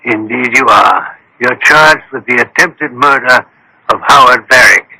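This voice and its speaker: An actor playing a dry, unflappable detective in a radio drama, speaking in a measured, politely authoritative way. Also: male voice, medium pitch, bright, forceful tone, a little hiss or hum, poor audio. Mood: coolly triumphant. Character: dry, unflappable male detective directing a trap.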